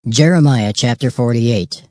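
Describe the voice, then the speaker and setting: A man's voice: medium pitch, measured pace, darkish tone, dry recording. Dry-recorded man narrating scripture formally. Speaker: scripture narrator announcing chapters.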